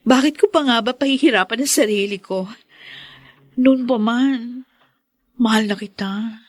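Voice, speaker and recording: A woman with a Filipino accent playing a warm, admiring young sweetheart in a radio drama. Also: fairly high pitch, slow pace, long pauses, no room sound, clean studio sound. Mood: tender.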